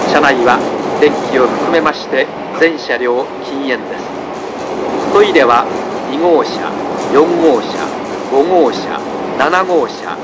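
Slow male train announcer, loud rumble. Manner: formal, measured, polite. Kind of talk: scripted automated in-train announcement. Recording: train speaker under loud railway noise. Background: loud train running noise.

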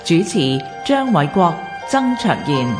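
A woman's voice: on the low side, big pitch swings, measured pace. A woman with a Hong Kong Cantonese accent reading a scripted radio programme announcement. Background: loud music.

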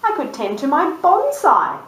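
A woman voicing a cheerful, daydreaming female sheep from a storybook, with sing-song, drawn-out rhyming lines. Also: sits high, wildly swooping pitch, pitched above the performer's own voice, heavily acted. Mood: dreamy, cheerful. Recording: roomy, distant microphone.

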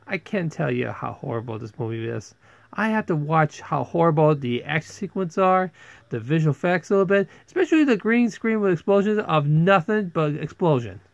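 Animated, higher-voiced man reviewing casually. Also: normal speed, vocal fry, no echo. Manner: exasperated, animated, exclaiming. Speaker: host of a video review channel. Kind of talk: casual rambling movie review to viewers. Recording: dull, lo-fi close microphone.

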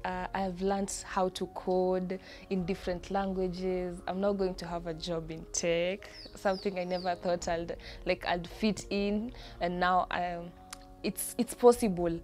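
A young woman from Kenya, a coding student giving a calm, measured interview testimonial outdoors on a lapel mic. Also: lowish voice. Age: young adult. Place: outdoor terrace.

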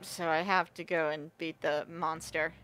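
A woman giving casual let's-play commentary, her voice raspy and strained, talking to herself and the viewers with quick asides. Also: deep voice, flat delivery, a bit bright, poor audio. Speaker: gamer playing a game on video. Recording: faint game music behind.

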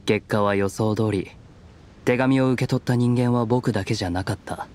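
A man's voice: mid-range voice, steady tone, moderate pace, a little mellow, lightly acted. An actor playing a stoic, guarded young nobleman in a video game, serious and restrained. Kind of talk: Japanese video game voice acting. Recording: studio voice over game music.

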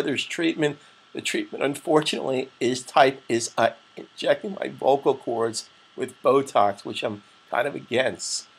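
A middle-aged man with a raspy, strained voice, giving a patient step-by-step software tutorial as an instructor. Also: mid-range voice, rough recording. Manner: plain, patient step-by-step explaining with frequent "okay?". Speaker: web design instructor. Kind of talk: screen-recorded software tutorial.

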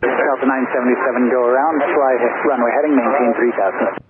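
A male air traffic controller rattles off clipped instructions over aviation radio. The sound is tinny and narrow, with clear noise. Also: mid-range voice, fairly quick. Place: airport control tower radio.

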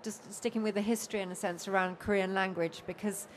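An older woman chairing a panel discussion, speaking in a measured way with frequent ums at a table microphone. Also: mid-range voice, steady tone, a little hiss or hum. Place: bookfair panel stage with an audience, indoors.

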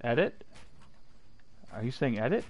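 A man's voice: mid-range voice, big pitch swings, unhurried, a little mellow, lo-fi sound. A man in his thirties, a father chatting casually while playing a video game with his young son, cracking jokes and mock-serious asides. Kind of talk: casual gameplay chat.

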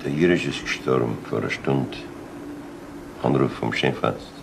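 An actor with a Bavarian accent playing a dry, composed police chief inspector in a 1970s German crime radio play, speaking deliberately. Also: male voice, a bass voice, gravelly, exaggerated voice. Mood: dry, weary. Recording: noisy vintage radio drama recording.

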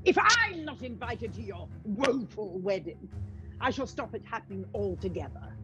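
A woman's voice: mid-range voice, sing-song, moderate pace, sharp tone. A woman playing a vain, gloating wicked queen in a pantomime, grand and theatrical, with a drawl. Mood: gloating menace. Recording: dull lo-fi video call, music behind.

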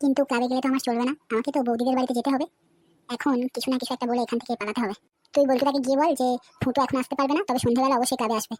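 A woman's voice: high-pitched, fairly even intonation, very fast, a little hiss or hum, close to an everyday voice. A woman voicing a cheeky, foul-mouthed young village man in a cartoon, brash and swearing often. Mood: irritated. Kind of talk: cartoon voice acting. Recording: loud background music.

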